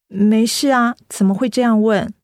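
A woman's voice: a little high, steady tone, moderate pace, no echo, studio quality, close to an everyday voice. An actress playing a sweet young female office worker, polite and a little guarded, in a radio drama. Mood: evasive, polite. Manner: polite, soft-spoken, evasive.

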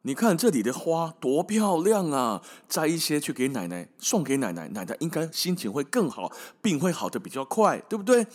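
A man's voice: a little high, very animated, normal speed, hardly any pauses, darkish tone, voice pushed up. A man voicing a sly, scheming big bad wolf who sweet-talks a little girl in a storybook tale. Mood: wheedling. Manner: sweet-talking, wheedling. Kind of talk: storybook character voice in podcast storytelling.

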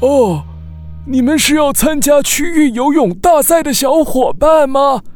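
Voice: sits high, animated, measured pace, music in the background. An actor playing a kindly, chatty old sea snail uncle in a children's audio drama, with hesitant, folksy delivery. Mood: friendly, welcoming.

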